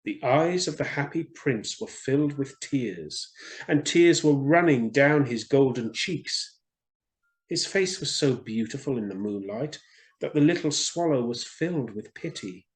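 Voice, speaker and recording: A man reading a children's story aloud as an expressive storyteller. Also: medium pitch, unhurried, poor audio.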